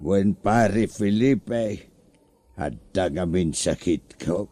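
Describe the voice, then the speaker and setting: A man's voice: deep voice, mellow, no room sound, faint music behind, exaggerated voice. A man with an Ilocano accent playing a lazy, whining, hard-drinking husband who feigns illness in a comedy audio drama. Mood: pitiful. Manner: drawn-out complaining, theatrical moaning.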